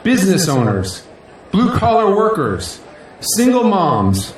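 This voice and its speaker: A man's voice: high voice, wildly swooping pitch, slow pace, exaggerated voice. An actor playing a proud, idealistic male politician in an audio drama, giving a grandiose, earnest victory speech in declamatory rally style. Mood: humble, proud. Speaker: newly elected city council president. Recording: echoey hall, distant mic, crowd noise. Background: crowd and faint noise.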